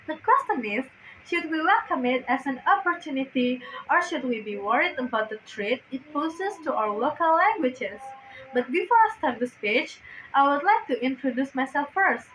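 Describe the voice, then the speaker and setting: A woman's voice: high-pitched, expressive, moderate pace, a bit bright, slight background noise, low-quality recording. A teenage girl from Indonesia with an Indonesian accent, delivering an earnest, prepared persuasive speech as a student speaker. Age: teens.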